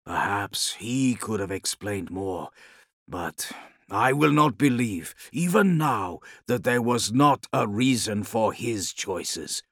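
A male narrator as a grave warlord khan from an audiobook, proud and brooding. His voice is gravelly and dry, with slow, deliberate phrases that rise and fall expressively. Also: lowish voice, expressive, a bit bright, dry recording, full character voice. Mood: brooding, resolute. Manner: measured, deliberate, formal phrasing.

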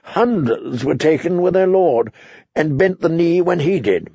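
A man voicing a harsh, hot-headed, accusing knight at court in an audiobook, husky, sharp and insistent. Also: fairly high pitch, animated, measured pace, no room sound, lo-fi sound, voice pushed up, heavily acted.

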